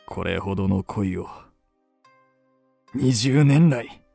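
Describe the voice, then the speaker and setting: An aging kabuki star, ardent and scheming, in a period radio play. He draws out his theatrical, archaic lines slowly with a creaky grain. The voice is recorded dry and close. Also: fairly low pitch, lively intonation, warm tone, no room sound, soft background music, heavily acted. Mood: pleading, burning. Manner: theatrical, archaic stage Japanese phrasing. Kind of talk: period radio drama acting.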